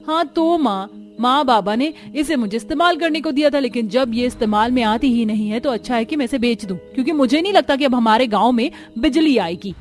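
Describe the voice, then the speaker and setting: A woman voicing earnest, plaintive village housewives and mothers-in-law in a cartoon story, with an expressive, emphatic delivery. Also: a little high, brisk, few pauses, faint music behind, close to an everyday voice. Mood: exasperated.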